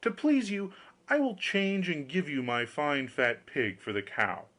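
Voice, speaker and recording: Man playing an obliging storybook butcher, very animated pitch. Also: a little slow. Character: obliging, wheedling male butcher making a bargain.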